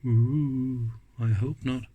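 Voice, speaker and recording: Slow-paced man thinking aloud. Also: medium pitch, fairly even intonation, mellow, dull audio. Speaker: host of an electronics teardown video. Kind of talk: casual hands-on commentary. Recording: clicks and faint background noise.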